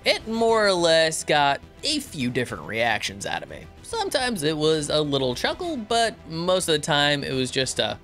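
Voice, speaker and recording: A man's voice: on the high side, moderate pace, hardly any pauses, soft background music. A man in his thirties talking expressively and conversationally in a casual review, close on the microphone in a home studio. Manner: expressive, conversational, acting out reactions and quotes. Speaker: YouTube reviewer and host. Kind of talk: casual spoken review to camera. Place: small home studio room. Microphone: close microphone on a boom arm.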